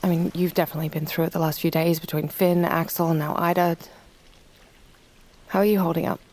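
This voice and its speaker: A woman's voice: on the low side, level tone, a little fast, a little mellow, natural voice. An actress playing a weary, anxious woman who confides hesitantly, in a naturalistic audio drama. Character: weary, anxious pregnant woman seeking reassurance.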